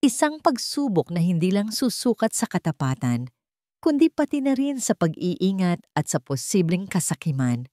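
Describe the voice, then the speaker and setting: A woman narrating a dramatic story in a measured, suspenseful way, as scripted audio fiction. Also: on the low side, sing-song, warm tone, dry recording, clean studio sound.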